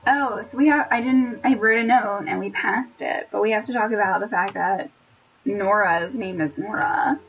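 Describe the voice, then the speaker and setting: A woman's voice: mid-range voice, normal speed, recorded from a distance, a little hiss or hum. A young woman co-hosting a podcast, chatting casually and animatedly, with lots of filler words. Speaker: podcast co-host. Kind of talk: casual chat. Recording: lo-fi, dull sound.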